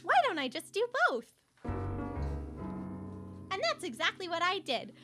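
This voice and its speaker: Bubbly oblivious schoolgirl narrator for a radio serial, female actor, high-pitched and swooping. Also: wildly swooping pitch, unhurried, over music, full character voice. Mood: cheerful, oblivious. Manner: chirpy, oblivious, earnest. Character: bubbly self-absorbed schoolgirl narrating her own story. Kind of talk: campus radio serial drama acting.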